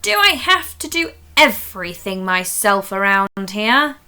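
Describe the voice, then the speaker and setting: A woman's voice: mid-range voice, sing-song, measured pace, pushed and bright, smooth. A woman voicing a cross, exasperated witch schoolteacher in a storybook, with stern, emphatic delivery.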